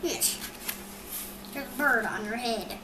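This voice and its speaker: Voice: mid-range voice, slow pace, lightly acted. A child voicing a matter-of-fact pig friend from a picture book. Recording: echoey, noisy room recording.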